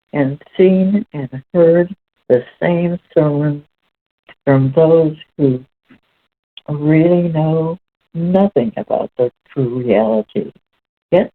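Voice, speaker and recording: Slow-paced woman reading, muffled lo-fi sound. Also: low-pitched. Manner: measured, deliberate, halting phrasing. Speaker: host reading aloud. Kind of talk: slow reading of spiritual text, with commentary.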